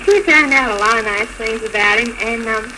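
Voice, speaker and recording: A woman telling her own story haltingly and politely as a guest in a 1940s radio interview. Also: a little high. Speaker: bride, show guest. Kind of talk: unscripted personal storytelling in interview. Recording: noisy, crackly 1940s radio recording.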